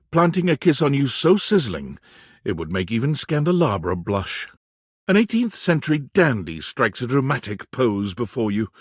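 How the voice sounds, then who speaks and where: A male game narrator with a medium-pitched voice tells the story in a theatrical, wry way. His delivery swings widely in pitch and leaves dramatic pauses. The sound is close and dry. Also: dry recording. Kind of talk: scripted second-person narration.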